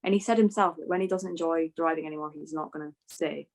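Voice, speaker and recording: A woman's voice: low voice, steady tone, fast talker. A woman chatting informally as a panellist, often dropping "like" into her sentences. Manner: informal, peppered with "like". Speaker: podcast panellist. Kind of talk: casual motorsport panel chat.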